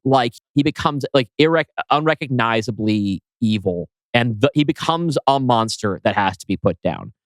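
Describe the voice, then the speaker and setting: A man's voice: mid-range voice, animated, normal speed, hardly any pauses, no room sound, low-quality recording. A man with a mid-range voice chats in a dry, close recording as a podcast co-host and writer. He explains his ideas casually, throwing in rhetorical questions and the odd swear word. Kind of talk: casual chat about own writing.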